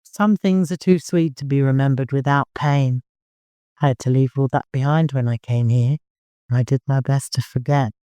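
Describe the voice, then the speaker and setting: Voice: medium pitch, steady tone, moderate pace, dark tone, clean tone, no room sound, studio quality, close to an everyday voice. A narrator voicing a wistful, worldly woman in an audiobook, speaking earnestly and with emotion. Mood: sad.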